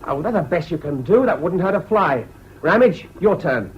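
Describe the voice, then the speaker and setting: Guy playing bullying posh english school prefect, barky and scornful, lively highish voice, bit of old radio hiss. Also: moderate pace, highs cut off, close to an everyday voice. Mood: scornful, commanding. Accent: English public-school. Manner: barking, hectoring, contemptuous. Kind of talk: 1970s BBC radio drama acting.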